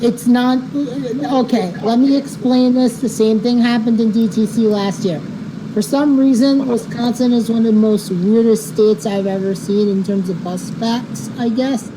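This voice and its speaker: A woman's voice: steady tone, unhurried. A woman in casual gaming voice chat, bantering in a teasing, mildly sweary way. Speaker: player in a group bus-driving game. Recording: clearly noisy voice chat, vehicle rumble.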